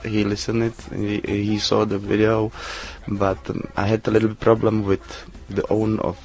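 A man answering interview questions in halting English, full of fillers and restarts. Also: on the low side, completely flat delivery, a little mellow. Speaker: interview guest, DJ.

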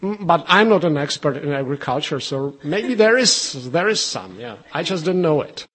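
Hesitant Czech-accented man, fairly high voice. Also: sing-song, normal speed, a bit bright, husky. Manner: hesitant, many fillers and restarts. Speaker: linguist, head of a language institute. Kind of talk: radio interview answers.

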